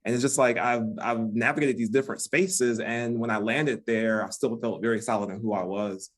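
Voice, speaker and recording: A man's voice: mid-range voice, steady tone, normal speed. A man with an American accent, a guest on a relationship podcast, talking casually with frequent restarts and fillers. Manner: rambling with many restarts and fillers. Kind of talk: casual conversation.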